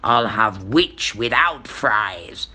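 A woman's voice: extremely low pitch, expressive, drawn-out words, sharp tone, breathy edge, pitched below the performer's own voice, full character voice. A woman voicing a mean, hungry male dragon in a storybook, menacing and relishing each word.

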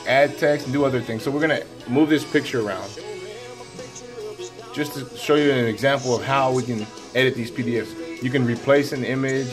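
A middle-aged man, a teacher, walking fellow staff through a computer program in a classroom, talking upbeat and folksy as he narrates each click. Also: medium pitch. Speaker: teacher leading a staff tech demo. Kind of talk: casual classroom screen demo.

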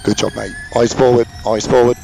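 A man's voice: medium pitch, fairly even intonation, a little fast, gravelly, mellow. A man working as a race engineer, giving encouraging, repeated instructions over team radio. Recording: noisy race radio, vehicle noise behind.

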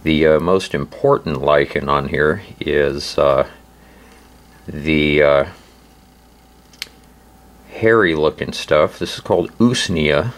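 Male instructional host, very deep and hoarse, slow halting delivery, informal. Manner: halting, hesitant delivery with frequent pauses. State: hoarse, recovering from laryngitis. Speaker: host of a survival channel.

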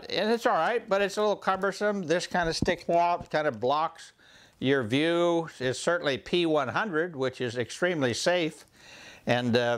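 An older man hosting a woodworking channel, giving a casual, folksy overview of safety masks in his home shop, with frequent "uh" pauses. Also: a little high, a bit bright.

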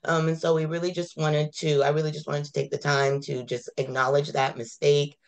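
A woman with a low, congested voice talks over a video call from a room at home. She speaks in a casual, conversational way and leans on a friendly "y'all." Her tone is apologetic and plain. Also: flat delivery, fairly quick, vocal fry. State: congested from a cold. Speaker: advocacy manager.

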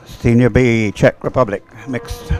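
A male sports commentator with a mid-pitched voice calls a race live. He speaks in short, unhurried phrases, often halting briefly before carrying on. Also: animated, faint music behind.

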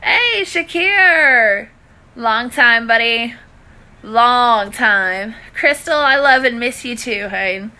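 A woman's voice: fairly high pitch, expressive, slow, pushed and bright. A woman in her thirties with a Southern US accent, chatting informally to live viewers indoors on a phone held at arm's length, using friendly endearments. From Louisiana, southern US. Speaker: cooking and budgeting live-stream host. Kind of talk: casual chat to live viewers. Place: indoors in a living room.

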